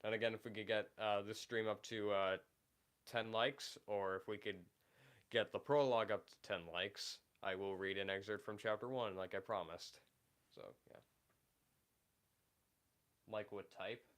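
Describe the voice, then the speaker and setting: A young man with a low, deep voice chats loosely to viewers on a livestream. His tone is smooth and clean, and his sentences stop and restart often, fading softer at the ends. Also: steady tone. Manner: halting, many fillers and restarts, trailing off quietly. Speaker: livestream host. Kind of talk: casual livestream chat.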